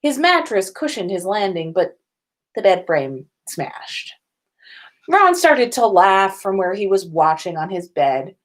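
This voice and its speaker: Woman, podcast host, story narration, medium pitch, occasional stumbles, comic fan fiction. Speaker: podcast host reading listener stories.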